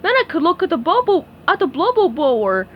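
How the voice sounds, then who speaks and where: A woman voicing a dim, sweet-natured male starfish in a cartoon storybook, talking in a slow drawl. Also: very high-pitched, sing-song, highs cut off, a little hiss or hum, voice pushed up. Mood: dopey.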